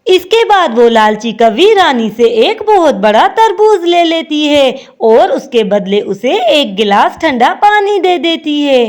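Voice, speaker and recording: High, lively woman storyteller. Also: a little slow, hardly any pauses. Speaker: storyteller, narrator. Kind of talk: scripted children's cartoon story narration.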